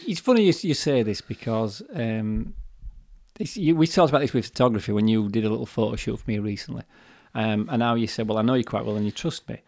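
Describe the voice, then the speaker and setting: A man's voice: medium pitch, fast, creaky, darkish tone, no echo. Guy talking on a podcast, lots of asides and little acted quotes, dead dry sound, mic right up close. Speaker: podcast co-host. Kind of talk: casual chat. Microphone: desk microphone.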